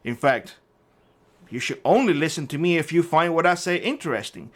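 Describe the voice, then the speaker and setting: A man's voice: a little high, lively intonation, normal speed. Indignant man with a Cuban Spanish accent. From Cuba. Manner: indignant, combative, swearing. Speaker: prepper channel host. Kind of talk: ranting vlog callout.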